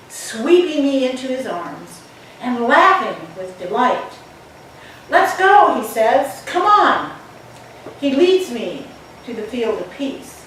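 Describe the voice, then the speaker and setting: A woman minister reading a poem expressively to a congregation, with deliberate, dramatic phrasing and pauses. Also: on the high side. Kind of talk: expressive poetry reading within a church talk. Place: large indoor church sanctuary. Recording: very echoey hall, distant mic, faint noise.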